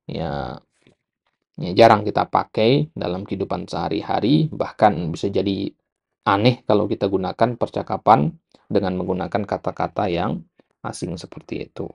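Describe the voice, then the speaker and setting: Casting: male religious studies lecturer, Indonesian accent, lowish register, very gravelly creak, didactic and repetitive delivery. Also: darkish tone. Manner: didactic, repetitive, frequent short check words. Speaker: Islamic studies teacher. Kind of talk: explanatory religious lecture, reading and glossing an Arabic text.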